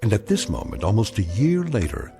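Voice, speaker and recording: A man acting as a grave, enigmatic storyteller, giving measured, portentous narration for a radio drama. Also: lowish voice, huge pitch swings, creaky, heavily acted. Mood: grave, ominous. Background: music.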